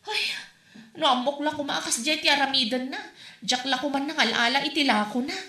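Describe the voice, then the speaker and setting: A woman plays a put-upon borrower with an Ilocano accent, her bright, high voice ringing in an echoey room. She pleads and complains about her friend's demands. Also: brisk. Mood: aggrieved. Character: harried borrower woman, defensive and aggrieved.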